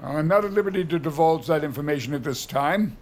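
An actor playing a defensive mayor in an audio drama, giving measured, formal, evasive answers as if at a press conference. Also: male voice, on the high side, a little hiss or hum, lightly acted. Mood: guarded, official. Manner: measured, evasive political speech. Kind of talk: audio drama acting, formal public address.

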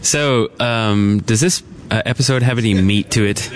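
Low-voiced man rambling at a convention. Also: normal speed. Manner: rambling, filler-heavy storytelling. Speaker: podcast co-host. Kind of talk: live podcast recap. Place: convention floor.

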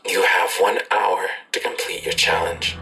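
An actor playing a menacing, controlled taskmaster in an audio drama, cold and deliberate. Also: male voice, sits low, level tone, sharp tone, a little hiss or hum, exaggerated voice, poor audio. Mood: cold menace. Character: menacing, controlled taskmaster issuing deadly challenges.

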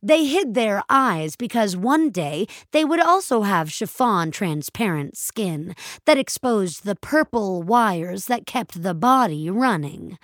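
A woman's voice: medium pitch, big pitch swings, takes it slowly, few pauses, a bit bright, dry recording. A woman reading scripted dramatic fiction as a narrator, recorded close on a studio mic.

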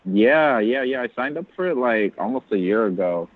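A man's voice: medium pitch, animated, normal speed, no room sound. Self-mocking man on a tinny line. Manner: self-deprecating storyteller. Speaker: guest. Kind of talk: casual podcast chat.

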